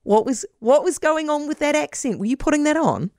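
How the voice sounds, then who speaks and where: A woman from South Africa with a New Zealand accent, a radio host questioning a guest in a quick, animated way. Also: high-pitched, no echo, clean studio sound. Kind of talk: radio interview.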